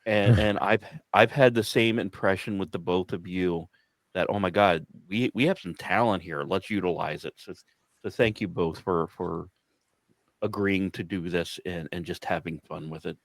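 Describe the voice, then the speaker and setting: This is a man with a deep voice in a casual chat. He talks effusively, doubling back on his words. The recording is dry. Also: moderate pace, dry recording. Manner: effusive, stammering repeats.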